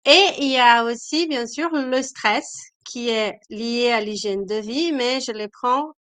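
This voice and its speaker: A woman's voice: on the high side, slow, fairly bright tone, no room sound. A woman with a cold, hosting a live-stream talk as a wellness coach, speaking hesitantly with many fillers. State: has a cold.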